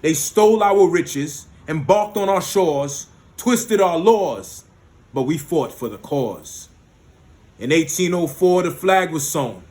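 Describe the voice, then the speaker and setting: A man from the United States performing spoken word poetry with a rhythmic, rhyming delivery and emphatic peaks, with short spoken asides between pieces. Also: sits high.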